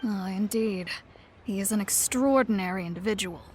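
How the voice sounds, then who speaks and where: A woman playing a composed, well-informed adventurers' guild clerk in an anime game, explaining in a measured way. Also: mid-range voice, lightly acted. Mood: informative. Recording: clear music behind voice.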